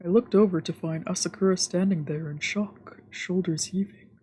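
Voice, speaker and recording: A woman's voice: on the low side, moderate pace, husky. A woman streamer reading visual novel narration aloud for a let's play. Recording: soft game music behind.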